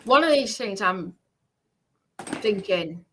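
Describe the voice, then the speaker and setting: A woman delivering an unscripted, confrontational rant to online trolls, scolding in a halting way and repeating her points for emphasis. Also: medium pitch, expressive, slight background noise.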